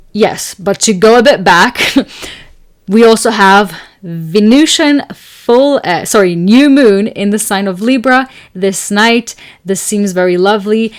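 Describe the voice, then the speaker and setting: A young woman from Switzerland hosting an astrology show, talking casually and telling a rambling story, her voice slightly raspy and tired from a long day of talking. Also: medium pitch, slow. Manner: chatty, rambling, many fillers and laughs. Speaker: astrology podcast host. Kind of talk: casual solo talk and storytelling.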